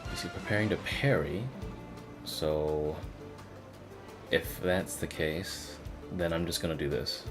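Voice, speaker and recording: A man's voice: rumbling low, slow pace, pauses often. A man streaming a game, casually commenting and reading game text aloud in a profane, reactive manner. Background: game music.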